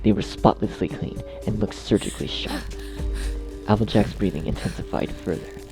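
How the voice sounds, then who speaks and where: A man narrating a dark fan fiction story as a scripted reading. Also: lowish voice, normal speed, music in the background.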